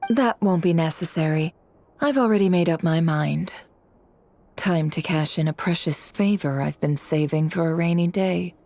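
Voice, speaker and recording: Woman playing a low, shrewd businesswoman boss. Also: darkish tone, natural voice. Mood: decisive. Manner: measured, formal, cool. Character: shrewd, composed businesswoman boss, fatalistic and calculating. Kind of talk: anime game voice acting.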